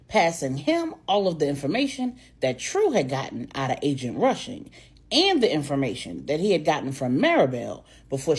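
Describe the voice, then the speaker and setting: An American woman narrating urban fiction in an expressive storytelling style. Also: sits low, slightly rough.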